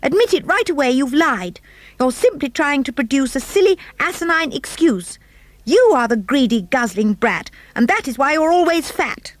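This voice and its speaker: Stern scolding mum, read by a woman, sharp clipped delivery, storybook. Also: high-pitched, huge pitch swings, fast, hardly any pauses, no room sound, heavily acted.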